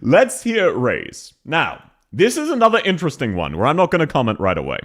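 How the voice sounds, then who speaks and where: A man's voice: on the high side, wildly swooping pitch, fairly quick, fairly bright tone. A young Australian man chatting casually on a live stream, playful and easily sidetracked.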